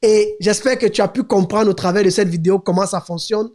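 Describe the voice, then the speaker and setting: A man's voice: high voice, a little fast, a little mellow. A man hosting a podcast who introduces his guest and leads the interview, often repeating words as he searches for them. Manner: hesitant, repeats words, many short backchannels.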